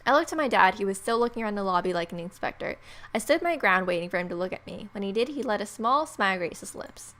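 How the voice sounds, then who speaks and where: A woman's voice: medium pitch, expressive, fast talker, fairly bright tone. A woman hosting a gaming video, reading a visual novel's story aloud with playful asides.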